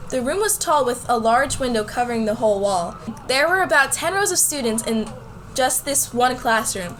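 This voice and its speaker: A woman's voice: a little high, fairly bright tone, slight background noise, close to an everyday voice, low-quality recording. A woman playing a nervous, bewildered schoolgirl who tells her own story in an amateur radio play. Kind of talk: first-person story narration and acted dialogue in an amateur radio play.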